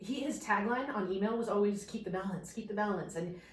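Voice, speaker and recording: A woman's voice: medium pitch, a little fast, recorded from a distance. A woman in her thirties from New York, a life coach, telling her story in a candid, reflective way. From New York suburbs. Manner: candid, reflective, animated at times. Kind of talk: personal storytelling in interview. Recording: echoey room, a bit off-mic, faint noise.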